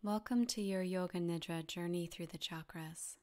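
A woman softly guiding a meditation in a breathy, half-whispered voice, calm and soothing, with gentle instructions and long pauses. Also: on the low side. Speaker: yoga nidra meditation guide. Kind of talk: guided meditation narration.

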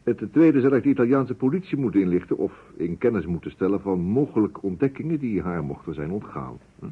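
An actor playing a courteous, precise private detective in a vintage radio play, musing deliberately and dropping in French phrases. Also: male voice, fairly low pitch, very animated, husky, full character voice. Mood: courteous, firm. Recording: muffled phone-like old radio recording.

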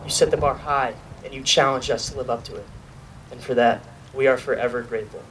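A man's voice: medium pitch, fairly even intonation, brisk, fairly bright tone. Young man giving a graduation speech. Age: young adult. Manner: earnest, lightly joking, reads from notes. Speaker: student speaker. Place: outdoor graduation ceremony at a podium. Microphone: podium mic filmed from a far camera. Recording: distant outdoor recording with noise.

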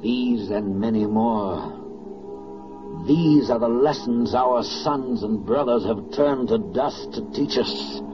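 A man's voice: mid-range voice, lightly acted. A man acting an earnest citizen reciting the lessons of war in a declamatory 1940s radio drama style. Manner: emphatic, declamatory. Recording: dull old radio recording with music.

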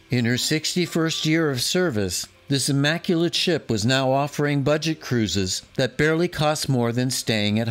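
Narrator, man, sixties, steady documentary read, faint music behind. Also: mid-range voice. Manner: measured, informative, fond of the subject. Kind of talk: scripted voice-over narration.

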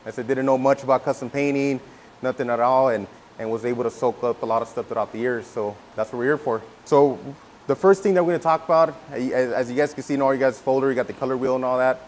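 This man in his thirties is from California and teaches custom painting in a laid-back manner. He stumbles over repeated words and fillers. His voice has a middle pitch, picked up by a clip-on mic with faint room noise. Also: fast talker. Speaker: guest instructor, custom painter. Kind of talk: casual workshop teaching. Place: indoor event room before a backdrop. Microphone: lapel mic.

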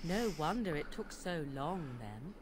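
A woman's voice: low voice, big pitch swings, unhurried, a crowd in the background. An actress playing a haughty young witch in a fantasy video game, scornful and arch, with formal diction. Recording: game audio with clear background ambience.

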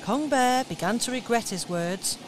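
A woman tells the story in a steady narrating voice, a little high in pitch. A faint hiss of noise runs under the radio recording. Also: normal speed.

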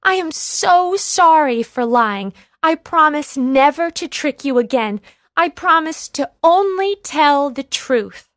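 Lady doing a young shepherd boy for a kids story, high voice, crying out all worked up. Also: lively intonation, takes it slowly, a bit bright, no room sound, clean studio sound, full character voice. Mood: pleading. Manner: crying out, exclamatory. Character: young shepherd boy, frightened, pleading, mischievous. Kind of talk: children's storybook character voice.

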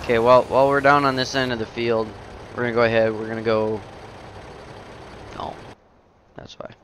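A man's voice: mid-range voice, steady tone, moderate pace, fairly bright tone. A young man chatting casually while he plays a game, rambling and talking to himself, a bit sniffly from a runny nose. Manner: rambling, self-talking, easily surprised. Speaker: gaming channel host. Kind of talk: casual let's-play commentary. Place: home gaming setup, indoors. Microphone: headset. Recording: noisy home recording.

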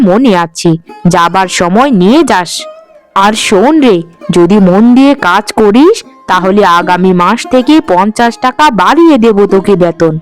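A woman's voice: medium pitch, close to an everyday voice. A woman playing a bossy but fair household mistress in a cartoon, speaking in a commanding manner. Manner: brisk, commanding. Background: faint music.

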